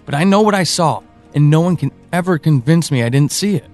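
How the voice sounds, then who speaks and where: A man playing an evasive, uneasy friend in an audio drama, speaking in a halting, confessional way. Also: a little high, lively intonation, darkish tone, faint music behind. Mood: troubled, insistent. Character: evasive male friend, uneasy, withholding a troubling memory.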